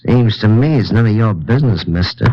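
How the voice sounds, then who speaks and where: A man's voice: on the low side, expressive, dull audio. An American actor playing a surly, suspicious outlaw cowhand in an old-time radio drama, curt and guarded in his speech. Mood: hostile, curt. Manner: curt, guarded, drawn-out Western phrasing.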